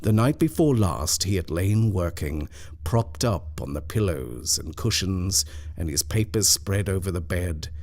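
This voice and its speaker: A man with a very low, deep voice reads a ghost story at an unhurried, drawn-out pace. His delivery is measured and deliberate, sometimes dropping to a hush. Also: animated. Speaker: narrator. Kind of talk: scripted horror story reading.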